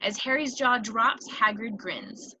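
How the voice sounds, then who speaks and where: Woman narrator, bright higher voice, screenplay directions, muffled noisy home recording. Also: animated, slow pace.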